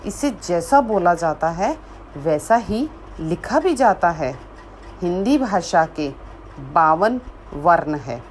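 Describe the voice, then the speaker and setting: A woman reciting a poem she wrote herself, in a measured voice that repeats lines for emphasis, after a short spoken introduction. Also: fairly low pitch, very animated, slight background noise. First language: Hindi. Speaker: poet. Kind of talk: slow recited poetry.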